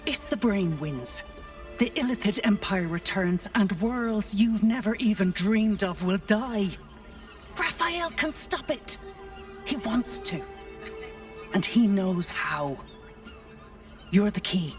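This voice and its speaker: A woman's voice: mid-range voice, expressive, takes it slowly, long pauses, far from the mic, faint music behind. A woman voicing a devil's mortal assistant in a video game, brash, familiar and persuasive. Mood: earnest, urgent. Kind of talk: video game character acting.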